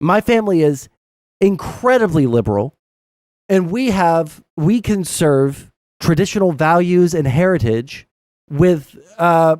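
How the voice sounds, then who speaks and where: A young man from Southern Arkansas with a Southern accent hosts a live stream, answering chat in a blunt, rambling way full of "you know" and "right?". His voice sits a little high for a man. The sound is dry and close, with no room around it. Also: darkish tone, no room sound. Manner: rambling, blunt, swears, frequent fillers. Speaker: streamer host. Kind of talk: casual live-stream commentary reading chat.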